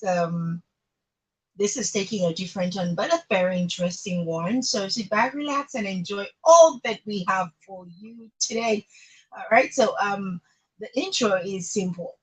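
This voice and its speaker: A woman's voice: mid-range voice, normal speed, breathy edge, poor audio. A woman with a Nigerian accent hosting a radio show, chatting informally and playfully with emphatic phrasing. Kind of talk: chatty live radio presenting.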